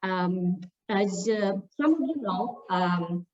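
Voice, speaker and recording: A woman from Romania, a staff member telling her story at an online panel, halting with many fillers and restarts. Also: medium pitch, expressive, recorded from a distance, dull audio, slight background noise.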